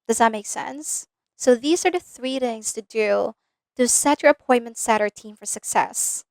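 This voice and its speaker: A woman with a Filipino accent walks the viewer through a business lesson, speaking directly and pausing to check that they follow. Her voice sits a little high, and the close mic gives a dry sound with no room echo. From Philippines. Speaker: business coach. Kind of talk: scripted instructional video.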